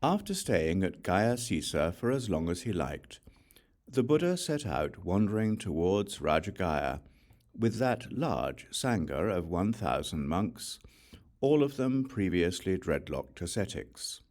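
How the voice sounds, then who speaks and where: A man reading scripture as a scripted audiobook narration, with measured, deliberate storytelling delivery. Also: low-pitched, very animated, darkish tone.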